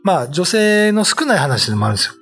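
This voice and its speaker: A man's voice: high voice, big pitch swings, fast talker, fairly bright tone, husky, faint music behind. A man co-hosting a talk show, chatting casually in a studio. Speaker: show co-host and storyteller.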